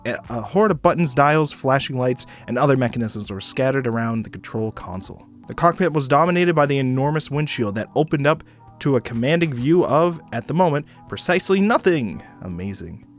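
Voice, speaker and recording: A man reading a story aloud as a streamer, in expressive narration with casual asides. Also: mid-range voice. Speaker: streamer narrating a visual novel.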